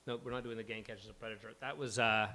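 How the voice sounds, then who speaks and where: A man hosting a live script-reading event in a casual, halting, scattered way, poking fun at himself and swearing freely. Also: on the low side, steady tone, a crowd in the background. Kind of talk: casual unscripted stage introduction. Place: live event venue before a small audience.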